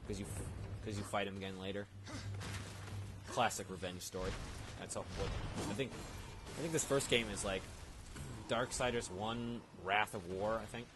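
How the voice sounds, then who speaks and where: Low-voiced man over loud background noise. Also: steady tone, moderate pace, long pauses. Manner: rambling, unsure, self-correcting. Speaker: let's play gamer. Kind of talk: casual off-the-cuff game commentary. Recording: noisy home game recording.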